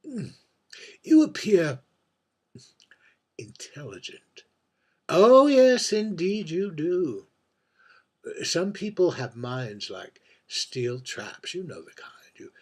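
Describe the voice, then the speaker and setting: A man playing a world-weary, wry drag performer in a theatrical monologue, confiding and deliberate, moving between hushed murmurs and emphatic phrases. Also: mid-range voice, husky, full character voice. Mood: wry, musing. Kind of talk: theatrical dramatic monologue read by its author.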